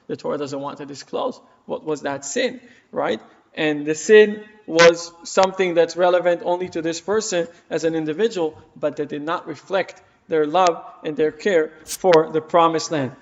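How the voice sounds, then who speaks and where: A man whose first language is Hebrew, teaching a Torah class and retelling stories rapidly and emphatically, with restarts. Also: fairly high pitch, gravelly. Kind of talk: explaining scripture to a class. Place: community hall. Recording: dull recording in a reverberant hall.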